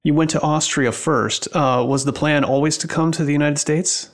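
A man's voice: fairly high pitch, fairly even intonation, normal speed. A man in his forties hosts a podcast, asking curious questions and giving short reactions as his guest talks. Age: 40s. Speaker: podcast host. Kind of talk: podcast interview.

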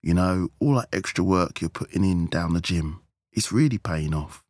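Man doing a low, laconic, boozy rogue husband. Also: normal speed, a little mellow, no room sound. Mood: cheeky. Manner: laconic, casual. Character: battered, boozy, roguish husband, unbothered and cheeky.

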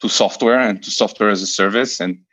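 A man's voice: on the low side, fairly quick, a bit bright. A man from Portugal in his thirties, a venture investor telling his career story in a podcast interview, hesitant with many repeated starts.

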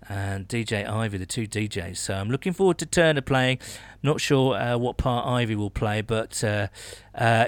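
A man's voice: medium pitch, a bit bright, dry recording. Casting: British man, sports podcast host, middle-register voice, informal and digressive, very dry recording. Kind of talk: casual sports podcast chat, reading out listener messages.

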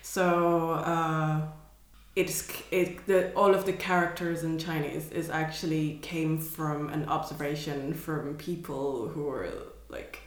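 A woman's voice: sits low, fairly even intonation, takes it slowly. Woman, hesitant, echoey room. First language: Cantonese. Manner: hesitant, searching for words, laughs often. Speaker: artist guest. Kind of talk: casual conversation.

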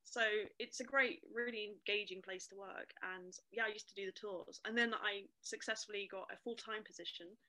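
A young woman, a storyteller and museum guide chatting lively and fluently in an interview, with frequent ums. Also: medium pitch, moderate pace, hardly any pauses, poor audio. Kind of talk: casual interview chat.

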